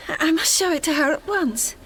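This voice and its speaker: A sheltered young lady in a gothic audio drama, dreamy and hesitant. Her voice is high and breathy, with faint ambience behind. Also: lively intonation, brisk, a bit bright, a little hiss or hum, low-quality recording. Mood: excited, wondering.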